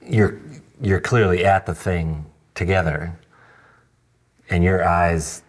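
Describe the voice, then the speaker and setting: Male podcast host, fifties, lowish voice, slow halting delivery, blunt and informal. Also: fairly even intonation. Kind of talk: casual interview chat.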